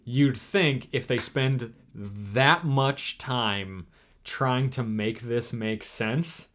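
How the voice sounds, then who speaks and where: A man co-hosting a casual podcast, rambling through his points with frequent hesitations and restarts. He has a middle-pitched voice, and his delivery is loose and conversational. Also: very slow, a bit bright. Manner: rambling, hesitant, searching for words. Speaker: podcast co-host. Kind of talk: casual chat.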